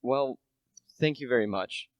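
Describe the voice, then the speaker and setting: A man's voice: mid-range voice, a little slow, no echo, natural voice. A teenage student actor playing a humble small-time salesman, hesitant and confiding, in an amateur radio play. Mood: hesitant, deferential. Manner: hesitant, self-effacing, confiding. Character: humble, deferential small-time relish salesman narrating his tale. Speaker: high school drama student.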